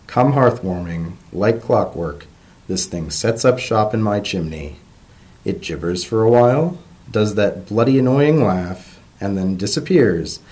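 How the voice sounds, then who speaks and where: Man as a grumpy, low-voiced pony bureaucrat. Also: darkish tone. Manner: dry, exasperated, sardonic. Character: grumpy, weary male pony bureaucrat with creaky joints.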